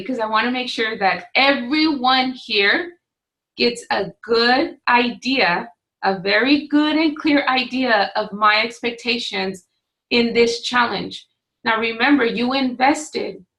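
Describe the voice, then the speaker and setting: A woman in her forties, a fitness coach talking straight to her webcam from a small home office, firm and no-nonsense, her voice slightly hoarse. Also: on the high side, pushed and bright, poor audio. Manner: firm, no-excuses, pep-talk delivery. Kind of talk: direct-to-camera coaching talk. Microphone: webcam at desk distance.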